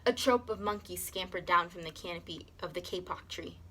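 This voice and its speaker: A woman's voice: fairly low pitch, brisk, bright, forceful tone. A woman reading a children's story aloud expressively, as a teacher does. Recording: clear background noise.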